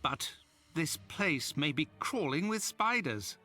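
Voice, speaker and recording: A genteel, fussy companion to a detective in a video game. His pitch is a little high and swings expressively as he speaks politely. Clear music runs underneath. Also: takes it slowly, exaggerated voice. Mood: uneasy. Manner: polite, expressive, slightly fretful. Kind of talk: video game cutscene acting.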